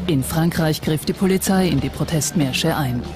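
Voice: medium pitch, normal speed. A documentary narrator reading a scripted account. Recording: music under the voice. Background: background music.

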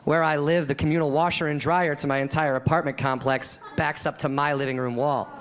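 A man in his thirties doing a deadpan stand-up routine on a comedy club stage, acting out the voices of the people in his stories, into a handheld mic. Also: a little high, brisk. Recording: live club recording with crowd laughter. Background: audience laughter.

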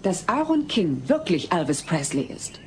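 Skeptical housewife, female, lowish voice, dry, patient, sitcom audio play, noisy. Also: very animated, normal speed. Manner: dry, reasoning.

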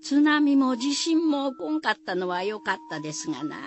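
Lively high-pitched woman storyteller, folksy. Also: normal speed, faint music behind. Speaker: storyteller narrator. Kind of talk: folk tale narration in rustic dialect for radio.

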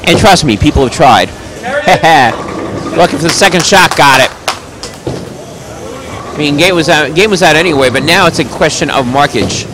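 A man calls a bowling match live with a loud, projecting voice that sits a bit high. He tosses in chatty, joking asides between the calls. The clatter of a busy bowling alley carries clearly behind him. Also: moderate pace, pauses often, noisy background. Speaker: bowling commentator. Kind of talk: live play-by-play commentary.

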